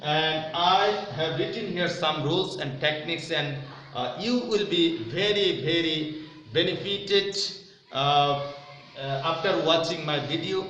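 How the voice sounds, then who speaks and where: A man's voice: fairly high pitch, a little slow, bright, forceful tone. A man giving a step-by-step grammar lesson to students, explaining in a repetitive, didactic way. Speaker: English grammar teacher. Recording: very echoey room, distant mic, muffled, faint noise.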